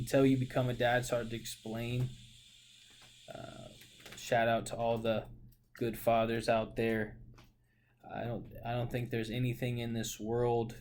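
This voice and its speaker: A man's voice: lowish voice, a little mellow. Young dude rambling about his life, lots of um and you know, faint hiss behind. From Portland, Oregon. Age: 20s. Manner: rambling, with many fillers and self-corrections. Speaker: vlogger. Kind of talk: casual personal monologue.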